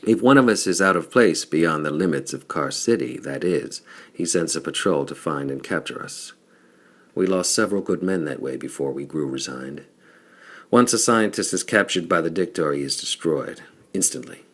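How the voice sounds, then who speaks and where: A man's voice: extremely low pitch, expressive, moderate pace, husky, exaggerated voice. A man voicing a scornful, nervous cowled scientist in an audiobook, explaining things in an expository way. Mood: grim explaining. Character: cowled male scientist, disdainful, nervous resistance insider.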